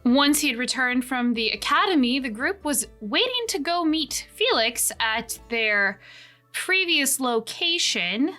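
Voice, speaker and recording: A woman narrates as game master, recapping the last session and then chatting with her players. Her voice sits fairly high and is bright. She talks in a loose, chatty way and laughs easily. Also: expressive, measured pace, faint music behind. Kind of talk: recap narration and casual play.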